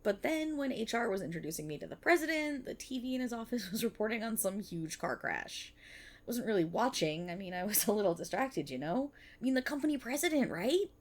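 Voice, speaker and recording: A woman playing an excitable, earnest young banshee idol-in-training, chatty and teasing, in an ASMR-style roleplay aimed at the listener. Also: on the high side, fairly quick. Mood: sheepish, animated.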